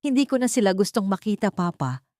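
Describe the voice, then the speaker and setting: A woman's voice: mid-range voice, big pitch swings, fast talker, dark tone, no room sound, studio quality, natural voice. A woman voicing a wronged, wounded daughter turning avenger in an audio drama, intense and emotional. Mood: vengeful. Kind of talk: dramatic audio story dialogue.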